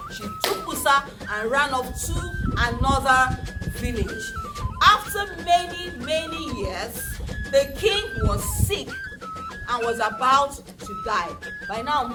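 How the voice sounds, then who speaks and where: Very animated, high-pitched Nigerian woman storyteller. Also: slow. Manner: animated, dramatic, asks listeners questions. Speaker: children's storyteller and educator. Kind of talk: interactive folktale storytelling for children. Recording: distant mic, loud background music.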